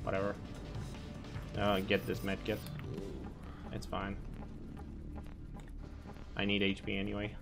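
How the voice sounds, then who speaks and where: A man's voice: fairly low pitch, completely flat delivery, takes it slowly, vocal fry, pauses often, music in the background. A Romanian man who sounds American, casually commentating his speedrun and chatting with viewers, easily exasperated. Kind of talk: casual live commentary over gameplay.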